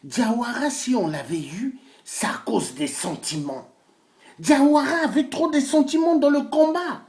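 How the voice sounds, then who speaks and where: A man speaks as a preacher-activist in a fiery, declamatory monologue. His pitch swings widely as he boasts and hammers phrases by repeating them. The sound is echoey, as in a bare room. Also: expressive, unhurried, darkish tone. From Congo-Brazzaville. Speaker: self-styled pastor and political activist. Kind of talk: impassioned political monologue.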